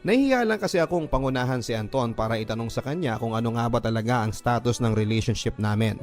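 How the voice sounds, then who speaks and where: A man's voice: medium pitch, darkish tone. Filipino man narrating drama over music. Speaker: radio drama host reading a listener's letter. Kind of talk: dramatic first-person scripted narration. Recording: music bed behind the voice.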